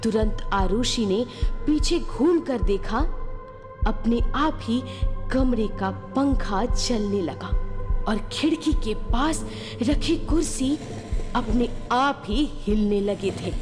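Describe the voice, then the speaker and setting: A woman narrating a horror story dramatically, building suspense with phrases broken by pauses. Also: fairly high pitch, expressive. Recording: long reverb, clear music behind.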